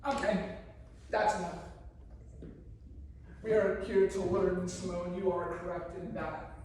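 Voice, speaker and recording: A woman's voice: lowish voice, steady tone, slow pace, a little mellow. A woman playing a stern, exasperated literature teacher, speaking in a firm, didactic, curt way in a stage play. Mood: firm lecturing. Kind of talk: one-act stage play acting. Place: live stage performance, recorded from afar. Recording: very echoey room, distant mic, faint noise.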